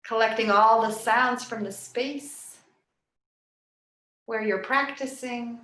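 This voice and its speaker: A woman yoga nidra teacher leading a guided relaxation meditation in a soft, soothing, unhurried way with long pauses. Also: a little high. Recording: roomy, echoing sound.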